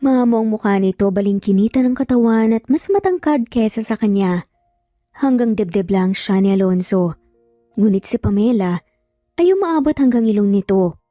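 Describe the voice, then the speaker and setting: A woman's voice: medium pitch, lively intonation, moderate pace, mellow, no echo, studio quality, soft background music. A woman with a Filipino accent narrating a scripted dramatic romance story as a storyteller.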